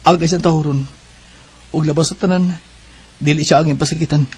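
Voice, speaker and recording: A man's voice: fairly high pitch, steady tone, a little fast, darkish tone, husky. A Filipino man with a Cebuano accent acting an earnest, devoted young suitor in a radio drama, emphatic in his delivery. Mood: sincere.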